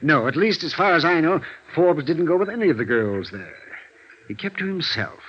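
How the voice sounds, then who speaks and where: An actor playing a fretful, hesitant head of an accounting department in a vintage radio drama, often flustered and repeating himself. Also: male voice, fairly high pitch, lively intonation, normal speed, lightly acted. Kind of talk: 1950s radio drama acting. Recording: dull vintage radio recording, faint noise.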